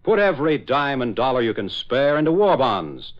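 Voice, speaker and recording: Dramatic vintage American radio announcer, animated man. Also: medium pitch, normal speed. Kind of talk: 1940s radio scripted narration. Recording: muffled old radio recording with hiss.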